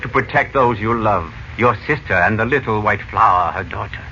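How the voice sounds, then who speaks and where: An actor playing a sly, servile yet menacing desert messenger in a 1940s-style radio serial, speaking formally and ingratiatingly. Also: male voice, lowish voice, moderate pace, bright, forceful tone. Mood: smooth, insinuating. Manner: formal, ingratiating, veiled threats. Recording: dull lo-fi old radio, faint noise.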